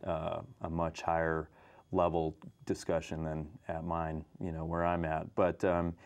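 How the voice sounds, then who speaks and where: Low-voiced American man, informal interviewee. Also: monotone, measured pace, dull audio, a little hiss or hum. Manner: informal, frequent "you know" asides. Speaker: fire dispatch manager, interview guest. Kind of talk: unscripted interview conversation.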